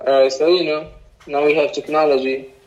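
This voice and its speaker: A man's voice: on the high side, level tone, a little mellow. Young man with an Arabic accent. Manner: halting, with fillers and repeats. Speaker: interviewee. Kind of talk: casual interview answers. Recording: dull lo-fi recording.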